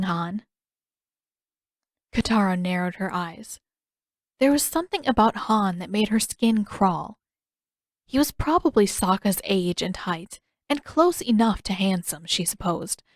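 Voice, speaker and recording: A woman's voice: mid-range voice, no room sound, clean studio sound. A woman reading a scripted story aloud as an audiobook narrator. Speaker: fan fiction author reading own story.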